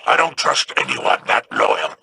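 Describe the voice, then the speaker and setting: An actor voicing a sly, scheming male alien crime lord in a video game, gravelly and wry with insinuating remarks. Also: unhurried, sharp tone, a little hiss or hum.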